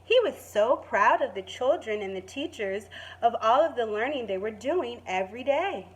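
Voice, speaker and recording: A woman teacher reading a children's story expressively, stretching words for emphasis and pausing to put questions to the listeners. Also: a little high, animated, low-quality recording. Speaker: primary school teacher reading to pupils. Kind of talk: expressive children's story read-aloud with asides.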